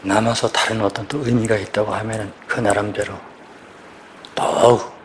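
Casting man, 50s, veteran police officer, low voice, quiet halting testimony before a congregation, faint background hiss. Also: level tone. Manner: quiet, halting, searching for words. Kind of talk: personal church testimony asking for prayer.

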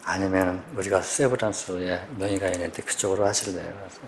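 Low-voiced man in his fifties, halting. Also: level tone, a little hiss or hum. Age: 50s. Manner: quiet, halting, searching for words. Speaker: police officer giving testimony. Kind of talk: personal church testimony asking for prayer.

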